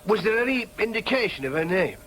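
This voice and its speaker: A man's voice: sits high, sing-song, fast, a bit bright, a little hiss or hum. An actor in a radio drama playing an earnest, dogged young man with a Yorkshire accent. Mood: eager questioning. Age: young adult. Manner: insistent, questioning, sometimes in inner monologue. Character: earnest, dogged young Yorkshireman seeking his birth mother.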